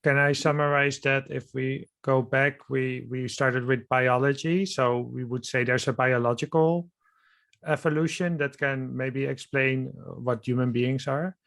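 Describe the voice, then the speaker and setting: A man's voice: mid-range voice, steady tone, a little slow, vocal fry, fairly bright tone. A man with a Dutch accent hosting an interview, summarizing ideas while searching for words, wearing headphones. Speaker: host. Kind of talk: casual interview chat.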